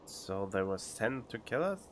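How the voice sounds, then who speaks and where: A man's voice: lowish voice, sing-song, unhurried, a little hiss or hum. A man giving casual commentary as he plays a video game, speaking into a microphone. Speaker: streamer playing a game. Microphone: studio microphone.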